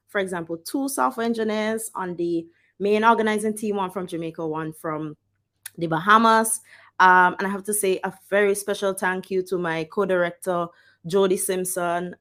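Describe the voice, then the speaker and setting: A woman giving the opening address of an online conference as its founder. She speaks in an organized way at a middle pitch and stops to thank the people who helped. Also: fairly bright tone.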